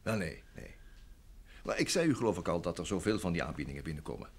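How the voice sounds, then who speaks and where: An actor playing an affable, evasive showbusiness manager in an old Dutch radio play, chatty and hesitant. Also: male voice, low voice, lively intonation, quick pace, husky, exaggerated voice. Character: evasive, affable manager of a film star, grown man.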